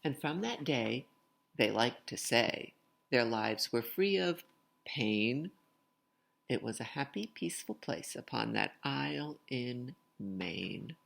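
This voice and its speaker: A woman's voice: a bass voice, expressive, slow pace, vocal fry. A woman reading a picture book aloud expressively, with casual asides to young listeners. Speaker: read-aloud storyteller.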